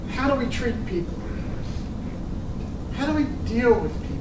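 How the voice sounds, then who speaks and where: A man giving a casual sermon, telling a story and reading scripture, with halting restarts and teasing asides to his listeners. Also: extremely high pitch, animated. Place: church room with a live audience. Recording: echoey room from a distant mic with noise.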